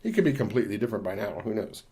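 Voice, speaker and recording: A man co-hosting a podcast, talking casually in a measured, halting way with pauses. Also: fairly low pitch, lively intonation, warm tone. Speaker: podcast co-host. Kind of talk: casual discussion of a film and games.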